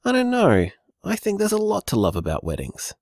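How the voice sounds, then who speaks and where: Audio drama role: easygoing, loving bar owner. Warm, rambling, animated delivery, dry close sound. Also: male voice, high-pitched, brisk, dry recording, heavily acted. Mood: earnest, upbeat. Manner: warm, teasing, rambling reassurance. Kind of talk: audio drama voicemail acting, naturalistic and conversational.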